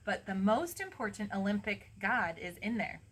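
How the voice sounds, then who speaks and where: A woman's voice: medium pitch, big pitch swings, normal speed, a bit bright, husky. A woman voicing a proud, knowledgeable Greek man who guides a young visitor in a storybook, declarative and instructive.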